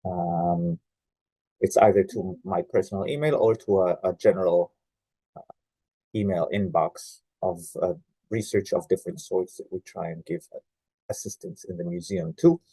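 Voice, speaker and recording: A man from Israel, a genealogy researcher, giving an online presentation with many pauses and fillers. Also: sits low, dark tone, husky, dry recording, poor audio. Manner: hesitant, many "uh" fillers and restarts. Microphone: video call.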